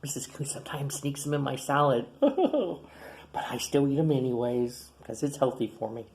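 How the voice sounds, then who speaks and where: A man who teaches young children, reading a picture book aloud in a playful, animated way with gasps and chatty asides. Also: mid-range voice, moderate pace. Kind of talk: storybook read-aloud for children.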